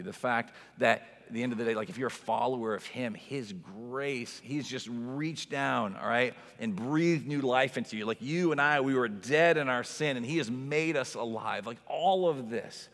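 A man's voice: medium pitch, a bit bright. A man in his forties preaching a conversational sermon as a pastor, with an informal, emphatic manner and frequent rhetorical asides. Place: large indoor church space with long reverb. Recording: reverberant hall sound.

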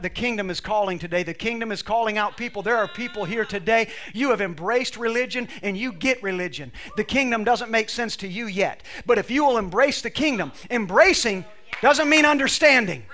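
High, bright-voiced preacher losing his voice. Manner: emphatic, repetitive preaching with call-and-response phrasing. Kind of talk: sermon with scripture reading. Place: church service with a congregation.

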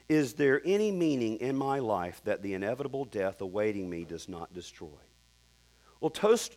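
Guy preaching a sermon, kinda high voice, earnest, drops low at the ends. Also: fairly bright tone. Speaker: pastor.

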